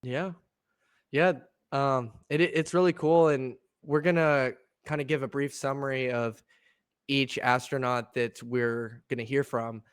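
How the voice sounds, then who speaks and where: A young man co-hosting a laid-back podcast, his voice a little gravelly and nasal from allergy congestion. He speaks at a middling pitch, and the sound comes through smooth and clear in a dry, close room. He rambles easily, restarts sentences and laughs often. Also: steady tone, a little slow, no echo. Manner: easygoing, laughs often, many fillers and restarts. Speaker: podcast co-host. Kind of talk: casual chat.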